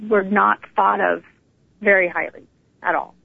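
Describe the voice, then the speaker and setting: A woman's voice: medium pitch, little pitch movement, a little slow, creaky, dry recording. A middle-aged American woman from Northern California, telling her life story as an interview guest in an informal, conversational way over a phone line. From Northern California, United States. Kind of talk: personal storytelling in a casual interview. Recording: narrow tinny phone line.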